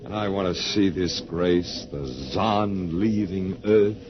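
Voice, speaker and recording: A man's voice: sits low, fairly even intonation, slow pace. An actor playing a weary, brave, wry survivor, the last man on Earth, in an understated old radio drama style. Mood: relieved, wry. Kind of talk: 1950s radio drama acting. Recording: dull, hissy old radio recording.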